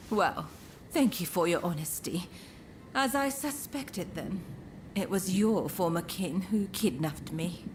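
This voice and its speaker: An actress playing an elegant, teasing courtesan in a video game cutscene, with refined, coquettish phrasing. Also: female voice, lowish voice, expressive, slow, slight background noise. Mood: teasing, grateful. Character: elegant, coy, teasing courtesan woman with powerful connections.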